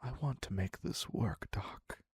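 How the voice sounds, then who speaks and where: A man playing an obsessive, clingy criminal captor in an intimate audio roleplay, husky and halting, slipping into whispers. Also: on the low side, dry recording, clean studio sound. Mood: wounded, pleading. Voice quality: husky, breathy, gravelly. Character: obsessive male yandere captor, ex-asylum criminal, clingy and needy.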